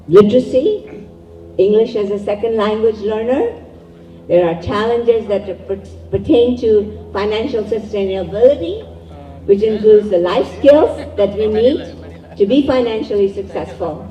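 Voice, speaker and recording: A woman whose native language is Urdu, speaking as an educator and emcee at a community event, giving a measured, formal welcoming speech to an audience. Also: medium pitch, dull audio, a little hiss or hum. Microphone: distant room mic. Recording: echoey hall, voice far from mic.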